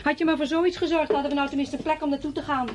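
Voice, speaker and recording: A weary, wistful woman on the run played by an actress in a radio play. Her voice sits on the high side, plaintive and needling, and slips into dreamy murmuring. The recording has some light noise. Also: steady tone, racing, close to an everyday voice. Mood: reproachful. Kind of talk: radio drama acting.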